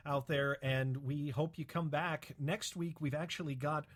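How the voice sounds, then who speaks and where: A man's voice: on the high side, fairly even intonation, a little slow. A man co-hosting a podcast, chatting casually in an appreciative, easygoing manner. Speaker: podcast co-host. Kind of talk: casual chat.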